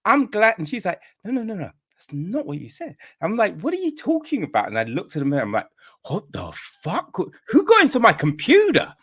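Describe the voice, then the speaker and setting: Animated man acting out anecdotes. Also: high-pitched, fairly quick, breathy edge. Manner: animated storyteller acting out quoted dialogue. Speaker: podcast host. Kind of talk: casual interview chat.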